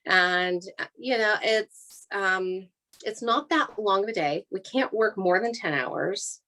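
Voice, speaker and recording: A woman's voice: mid-range voice, slow pace, bright, forceful tone, low-quality recording. A woman with an American accent giving an informal, explanatory career talk as an air traffic controller, in a practical, plain-spoken, conversational way.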